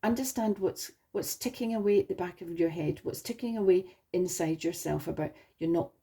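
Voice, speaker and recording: A woman talks viewers through a tarot spread in a reassuring, rambling way, often restarting her phrases. Her voice sits low and mellow, with a gravelly, husky edge from a sore throat. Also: brisk. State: slightly hoarse from a sore throat. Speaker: tarot reader. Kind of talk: spoken tarot reading to viewers.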